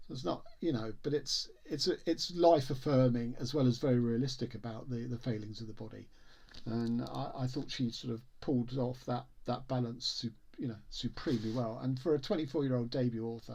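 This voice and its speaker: A man giving a casual, opinionated book review, speaking with a literary vocabulary and often breaking off and repeating words as he searches for phrasing. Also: mid-range voice.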